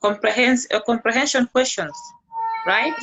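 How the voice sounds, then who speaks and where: A woman's voice: on the high side, normal speed, a bit bright, soft background music, rough recording. A woman teacher speaking hesitantly with fillers in an online discussion over a video call. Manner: hesitant, gives examples.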